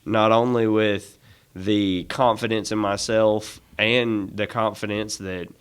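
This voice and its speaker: A man with a fairly low voice talks as a podcast guest. He sounds earnest and sincere, and he often restarts his sentences, repeating words as he goes. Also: steady tone, slow pace, smooth. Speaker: podcast guest, dealership employee. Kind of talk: casual podcast chat.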